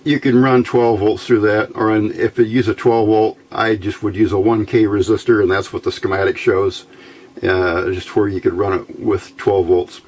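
A middle-aged man giving an informal, unhurried, step-by-step electronics demonstration as a hobby radio builder. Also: lowish voice, little pitch movement, darkish tone, slightly rough. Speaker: amateur radio builder presenting a project. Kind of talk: casual hands-on electronics demonstration. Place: indoor workbench.

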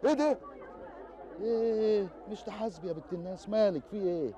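A man's voice: sits high, a little fast, mellow, dull audio, some chatter behind, natural voice. An actor with an Egyptian Arabic accent playing a joking police officer who teases a village girl. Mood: teasing. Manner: teasing, chuckling.

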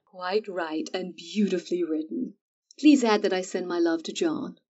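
A woman playing a calm, wise mother of four daughters, who speaks in a soothing, measured way. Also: on the low side, recorded from a distance, highs cut off. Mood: gentle.

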